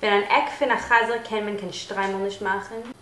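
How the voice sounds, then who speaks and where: A woman's voice: mid-range voice, fairly even intonation, a little fast, a bit bright. A woman reading out short folk sayings one at a time in flavoured speech. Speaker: reader of Yiddish proverbs. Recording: roomy echo with background noise.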